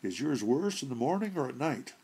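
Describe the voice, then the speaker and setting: A man voicing an irritable, solid middle-aged citizen in a short story reading, curt and grumbling, with a gravelly, husky voice. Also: mid-range voice, big pitch swings, fairly quick, warm tone, pitched above the performer's own voice. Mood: curious.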